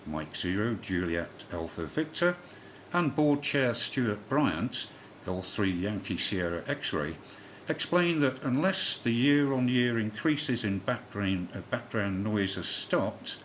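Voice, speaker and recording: A man reading a scripted amateur radio news bulletin as a newsreader, with the occasional slip. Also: fairly low pitch. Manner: spells out callsigns in phonetic alphabet, occasional slips. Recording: clearly noisy shortwave radio broadcast.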